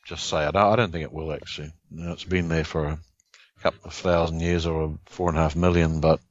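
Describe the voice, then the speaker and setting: A man's voice: very deep voice, little pitch movement, brisk. A man with a Texan accent co-hosting a podcast in casual chat, making wry asides with a chuckle. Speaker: podcast co-host. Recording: dry, dull lo-fi sound.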